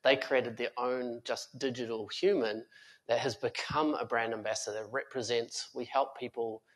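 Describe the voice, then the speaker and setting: A man's voice: mid-range voice, fairly even intonation, moderate pace, poor audio. A man speaking as a guest on a conference panel, earnest and full of fillers and restarts. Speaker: panel guest, tech company founder. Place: conference stage before an audience.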